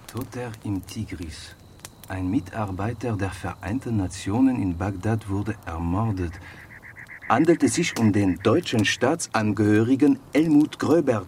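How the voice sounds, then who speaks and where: An actor playing a serious, decisive investigator in a radio drama. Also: male voice, lowish voice, a little mellow, slight background noise, lightly acted.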